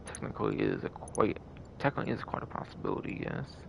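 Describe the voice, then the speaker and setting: Deep, gravelly man rambling on stream. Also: fast talker, a little hiss or hum. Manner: rambling, repeats and second-guesses himself. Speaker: game livestream host. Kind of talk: casual livestream commentary.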